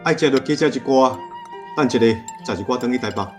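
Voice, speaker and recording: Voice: medium pitch, fairly quick, faint music behind, natural voice. An actor playing a strict, proud old family elder in a radio drama, blunt and instructive, with a Taiwanese accent. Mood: hospitable, proud.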